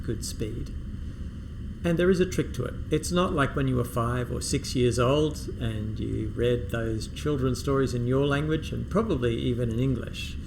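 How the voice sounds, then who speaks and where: Guy teaching a test-prep lesson, mid pitch, acts out sample sentences with big swoopy pauses, steady hiss behind him. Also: vocal fry. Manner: reads sample sentences with exaggerated rises and pauses. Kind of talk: explaining and modeling reading aloud.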